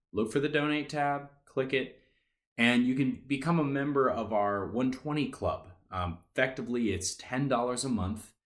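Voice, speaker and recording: A man's voice: medium pitch, a bit bright. A man speaking informally as a theater presenter, making a chatty appeal to listeners. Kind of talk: casual donation appeal to listeners.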